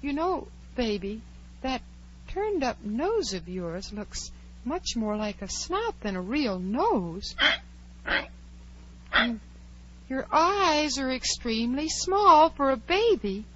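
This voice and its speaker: A woman's voice: high voice, sing-song, takes it slowly, pauses often, a bit bright, full character voice. An actress playing a polite, earnest and fretful little girl with proper diction, in 1940s radio drama style. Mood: prim. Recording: muffled, noisy old radio recording.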